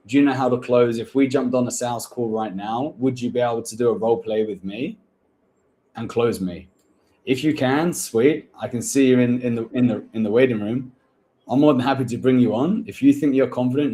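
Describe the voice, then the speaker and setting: A man's voice: mid-range voice, mellow, a little hiss or hum. A man hosting a live-stream Q&A about getting hired, blunt and coaching, calling people "bro". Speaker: host, recruitment agency owner.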